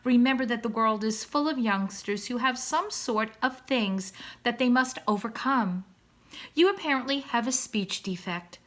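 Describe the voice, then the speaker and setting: A woman's voice: on the high side, moderate pace, a bit bright, voice pushed up, natural voice. A woman voicing a wordy father swan from a children's storybook, kindly and encouraging, speaking in a formal, emphatic, oratorical way. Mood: earnest, lecturing. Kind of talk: children's storybook read-aloud.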